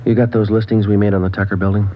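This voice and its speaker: An actor playing a matter-of-fact police detective, deadpan and clipped, in a vintage radio drama. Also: male voice, lowish voice, very fast, slight background noise, close to an everyday voice. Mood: businesslike. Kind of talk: 1950s radio police drama narration and dialogue. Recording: dull vintage radio recording, loud music.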